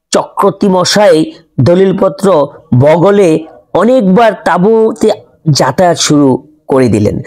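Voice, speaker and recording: Higher-pitched man narrating a story. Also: a little mellow. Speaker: audiobook narrator. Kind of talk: scripted narration of a classic ghost story.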